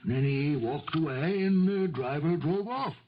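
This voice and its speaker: An actor playing a hesitant, nervous male neighbour giving testimony in a halting, fussy way, in 1970s radio mystery style. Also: a little high, a little hiss or hum, close to an everyday voice. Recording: dull vintage radio broadcast recording.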